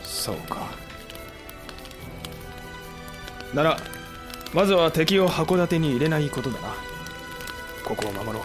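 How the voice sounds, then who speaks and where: An actor playing a cool, shrewd samurai commander who is gruff but caring, speaking tersely in a Japanese period audio drama. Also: male voice, on the high side, animated, normal speed, music in the background, natural voice. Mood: grave.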